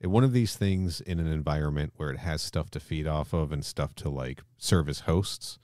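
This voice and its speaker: A man's voice: very deep voice, normal speed, darkish tone, dry recording, studio quality. A man co-hosting a podcast, talking casually and emphatically, with some swearing. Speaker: podcast co-host. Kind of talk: casual discussion and film plot recap.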